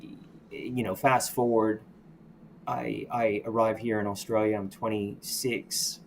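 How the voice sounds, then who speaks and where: Low-voiced man telling his story. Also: little pitch movement, creaky, a little hiss or hum. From United States. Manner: reflective, halting, with many restarts and "you know". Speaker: podcast guest. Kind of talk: candid personal storytelling.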